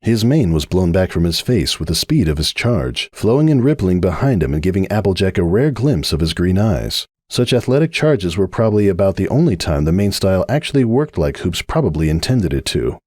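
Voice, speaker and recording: A man's voice: sits low, big pitch swings, brisk, hardly any pauses, darkish tone, dry recording, clean studio sound. A man giving a dramatic scripted reading of a story as its narrator.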